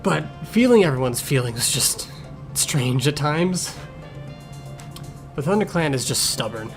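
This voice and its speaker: A man's voice: fairly high pitch, animated, unhurried. Grumpy cat medic for a cartoon fandub, played by a man: dry, sarcastic, blunt, over prominent music. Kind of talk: improvised-sounding voice-acted fan Q&A. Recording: music playing behind the voice.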